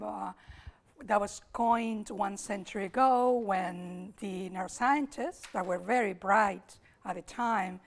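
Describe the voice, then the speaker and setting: A woman with a Spanish accent, hoarse today, giving an academic lecture at a summer school, thinking aloud with restarts and repeated words. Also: medium pitch. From Spain.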